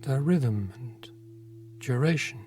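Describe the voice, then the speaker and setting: A man narrating a guided meditation in a gentle, unhurried manner, leaving long pauses between phrases. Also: medium pitch, animated, a little hiss or hum. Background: meditation music with singing bowl tones.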